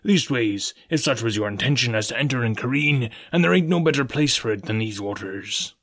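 A man's voice: mid-range voice, lively intonation, a little fast, a bit bright. A man voicing a genial, sly old one-legged pirate sailor in a storybook, with folksy nautical talk and an ingratiating manner. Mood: deferential, sly.

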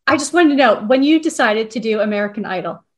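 A woman's voice: fairly high pitch, animated, fast. A woman interviewer asking rambling, filler-laden questions in casual chat. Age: adult.

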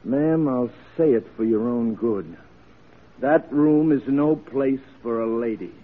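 An actor playing a stubborn, dismissive prison jailer in an old-time radio drama, with a brusque, colloquial way of talking. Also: male voice, medium pitch, slow pace. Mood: stubborn, irritated. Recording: muffled, noisy vintage radio recording.

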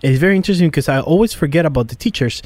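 Higher-pitched man hosting an interview. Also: lively intonation, very fast, a little mellow. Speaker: interview host.

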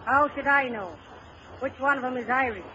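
An actress playing a sharp-tongued housewife who scolds with dry, pointed sarcasm, in 1930s radio comedy style. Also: female voice, sits high, brisk, lightly acted. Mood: dry, exasperated. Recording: muffled, noisy old radio recording.

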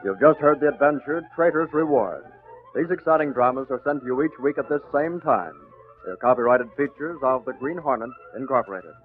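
Male 1940s radio announcer, medium pitch, scripted delivery, tinny vintage sound with faint music underneath. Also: lively intonation, a little fast. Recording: tinny, band-limited old radio broadcast.

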